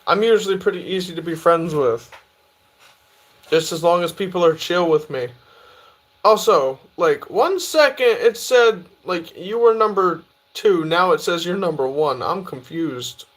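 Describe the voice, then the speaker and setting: A man's voice: high-pitched, measured pace. Young man chatting on a live stream. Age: 20s. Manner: relaxed, chatty, answers viewer questions aloud. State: tired. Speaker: livestreamer reading chat. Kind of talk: casual live stream chat.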